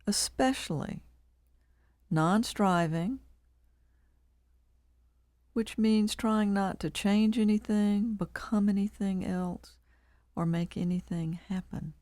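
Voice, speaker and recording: Woman, meditation guide, soft-edged mellow tone, very slow pace, calm, measured, long pauses. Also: mid-range voice, dry recording, studio quality. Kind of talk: guided body scan meditation.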